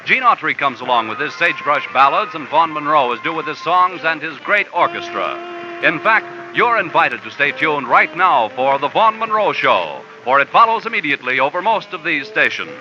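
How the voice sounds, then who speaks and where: Man, formal network announcer, lively high voice, credits and promos, muffled vintage radio sound. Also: normal speed, noisy background. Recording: dull old radio recording with music.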